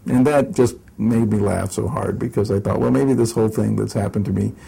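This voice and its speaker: Gravelly older man, rambling and wry. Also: lowish voice, fairly even intonation, brisk, dark tone. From United States, raised in Southern California. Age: 60s. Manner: rambling, hesitant with many fillers and restarts; wry, self-mocking humor. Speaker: interviewee. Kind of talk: oral history interview.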